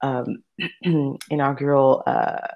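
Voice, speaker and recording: Woman, thirties, native Spanish speaker, low pitch, creaky voice, informal conversational storytelling, interview guest. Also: takes it slowly, lo-fi sound. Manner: chatty, informal. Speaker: interview guest, opera soprano soloist.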